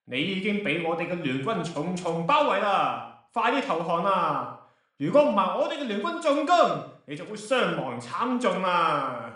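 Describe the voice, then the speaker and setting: A commanding emperor in a radio drama, acted by a man. His declamation is lively and slightly high. It comes through very echoey and distant. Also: moderate pace. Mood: commanding, accusing. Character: commanding, righteous emperor confronting an enemy king. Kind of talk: Chinese radio drama acting.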